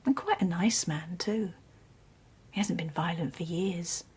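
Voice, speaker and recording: An actress playing a reassuring mother in a radio drama, speaking in a hushed, husky, coaxing way. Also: fairly low pitch, unhurried. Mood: soothing, hushed. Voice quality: breathy, husky.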